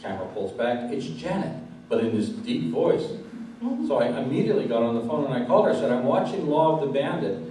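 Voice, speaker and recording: A man's voice: medium pitch, expressive, fast, warm tone. A man interviewing a guest live onstage and sharing anecdotes. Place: live event room with audience. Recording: echoey hall, distant mic, faint noise.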